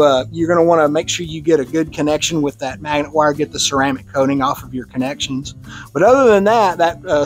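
A man with a Texan accent talks through a hands-on build in a loose, friendly way, fillers and restarts and all. His voice sits a little high for a man. Faint music runs underneath. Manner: relaxed, conversational, with fillers and restarts. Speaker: club presenter on radio antennas. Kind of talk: casual technical presentation. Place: club meeting room.

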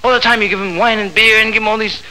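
A man voicing an exasperated, scolding wife who nags and complains in a high-strung way, in a spoken-word story. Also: steady tone, very fast, bright, forceful tone, poor audio.

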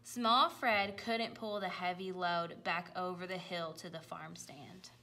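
A woman reading a children's picture book aloud, with careful, expressive delivery. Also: lowish voice, measured pace, a little hiss or hum. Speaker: storybook reader.

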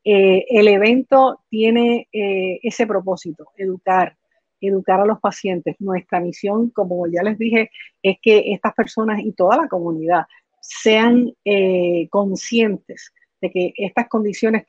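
An older woman with a Puerto Rican accent answers interview questions in an explanatory, halting way. Her voice sits at a medium pitch. The video-call sound is dull and dry, with little room echo. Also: darkish tone, husky. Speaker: interview guest, patient foundation director. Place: living room at home, indoors. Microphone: computer video call.

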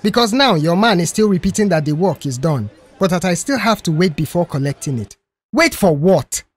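A man performs an indignant middle-aged male customer in an audio story. The voice rides high and swings up and down as he harangues and demands. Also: lively intonation, normal speed, husky. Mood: angry, demanding.

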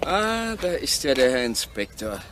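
An actor playing a sly, ingratiating village poacher, evasive and hesitant, in a German radio crime play. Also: male voice, medium pitch, big pitch swings, measured pace, background noise. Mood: sly, insinuating.

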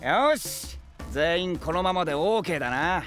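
Cocky rough warrior, high lively voice, anime drama. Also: male voice, moderate pace, soft background music. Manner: rough, brash. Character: brash, rough-talking young hot-blooded warrior.

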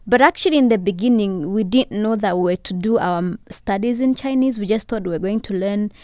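A young woman from Rwanda, a podcast guest, telling her story in casual chat with soft laughs. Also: mid-range voice, brisk, dry recording. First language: Kinyarwanda. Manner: warm storytelling with soft laughs and many "you know"s. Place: podcast studio, indoors. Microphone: studio boom mic with headphones.

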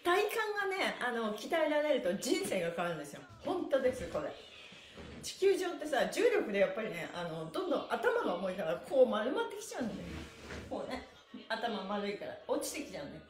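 Animated high-pitched woman leading exercise. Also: fairly quick, soft background music. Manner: animated, cheerleading, frequent laughing. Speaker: stretching instructor and livestream host. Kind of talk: live exercise class, counting aloud and chatting with viewers. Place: small bare-walled indoor room with wooden floor. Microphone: camera a metre or two away. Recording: echoey room.

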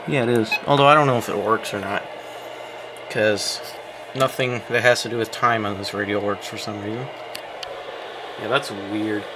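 Man, casual vlog commentary, halting and puzzled, handheld camera mic, heavy noise, indoors. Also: mid-range voice. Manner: puzzled, halting, thinking aloud. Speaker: vlogger, weather radio hobbyist. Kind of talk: casual off-the-cuff commentary while demonstrating gadgets. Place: small room indoors. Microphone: handheld camera microphone. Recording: very noisy camera audio, radio static.

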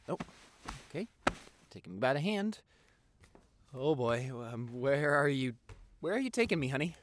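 A young man playing an affectionate, excitable vampire boyfriend in an intimate audio roleplay, gushing and stammering. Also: medium pitch, no echo. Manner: gushing, stammering, repeats himself.

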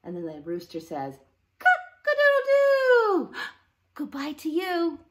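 A woman's voice: extremely high pitch, huge pitch swings, slow. A middle-aged woman leading an interactive read-along for young children, with an exaggerated sing-song delivery. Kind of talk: interactive picture-book reading for young children. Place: living room at home, indoors.

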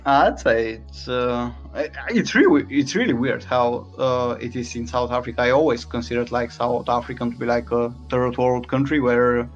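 A man's voice: medium pitch, moderate pace, hardly any pauses. Guest on a stream, a man from Bulgaria, easygoing and hesitant, faint music behind. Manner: relaxed, hesitant, many fillers. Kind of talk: casual chat.

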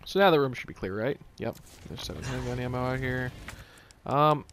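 A man's voice: medium pitch, moderate pace, noisy background. A man doing casual let's play commentary on a video game, swearing and tossing out jokey asides to himself.

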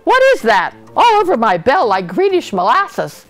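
Lady doing a fretful old man bell ringer, storybook style, high pitched, music behind. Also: very animated, normal speed. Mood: dismayed. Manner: gulping, fretful. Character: flustered, grumbling old male bell ringer.

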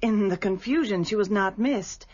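An actress playing a haughty, gracious widowed baroness in a radio drama, with formal, emphatic, theatrical speech and a husky voice. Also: female voice, mid-range voice, normal speed, darkish tone, lightly acted. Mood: composed, explaining. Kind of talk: British radio drama acting. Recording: muffled radio broadcast.

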